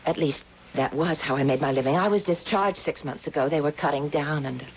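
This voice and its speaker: An actress playing an earnest, polite and anxious young job seeker in a radio drama, hesitant, apologetic and eager. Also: female voice, deep voice, a little fast. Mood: anxious, puzzled. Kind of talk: old-time radio drama acting. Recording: muffled, noisy old radio broadcast.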